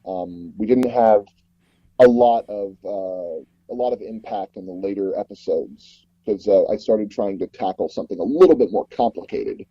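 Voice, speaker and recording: Male interview guest, mellow and relaxed tone, casual storyteller who acts out quoted exchanges, close dry but slightly dull recording. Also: on the low side, moderate pace, no room sound. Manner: animated retelling, acting out quoted dialogue. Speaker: interview guest, audio drama producer and director.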